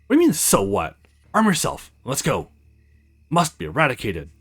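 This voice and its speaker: A man's voice: medium pitch, huge pitch swings, moderate pace, a bit bright, slightly rough, soft background music, full character voice. A man voicing a stern, commanding religious zealot of a hunter in a visual novel game, preachy in manner. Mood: zealous, impatient. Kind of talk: visual novel read-aloud.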